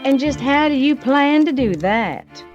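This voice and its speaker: A woman's voice: sits high, lively intonation, a little slow, darkish tone, lo-fi sound, faint music behind. An actress playing a proud, bitter businesswoman in an audio drama, sardonic and clipped. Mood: wry, wary.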